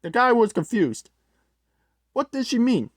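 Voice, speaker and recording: A storyteller narrating a creepy tale in a halting way, often stumbling and rewording. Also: medium pitch, sing-song, dry recording, studio quality. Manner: halting, corrects own wording mid-sentence. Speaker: host, storyteller.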